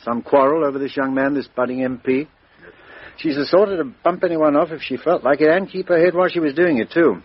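Old radio: a businesslike police inspector, husky mid voice, muffled broadcast. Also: male voice, on the high side, fairly quick. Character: brisk middle-aged male police inspector. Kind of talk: 1950s radio drama acting.

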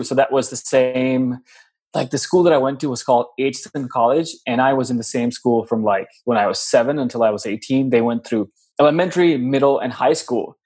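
A man's voice: mid-range voice, darkish tone, husky. Friendly reflective man from Pakistan. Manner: friendly, reflective, drawn-out phrasing. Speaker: podcast guest. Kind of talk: casual podcast interview. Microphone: video call.

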